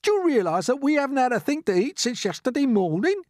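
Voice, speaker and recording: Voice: high voice, big pitch swings, fast, a little mellow, no echo, studio quality, voice pushed up. Wailing, doom-struck earthworm, wildly swooping. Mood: doom-laden complaining. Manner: dramatic, wailing complaint. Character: gloomy, irritable blind male earthworm, forever prophesying doom. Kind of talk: storybook character voice.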